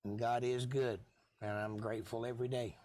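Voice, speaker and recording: A middle-aged man, hoarse from losing his voice, chatting casually with viewers as host of a live stream. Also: mid-range voice, level tone, fairly quick, darkish tone. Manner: laid-back, chatty, folksy.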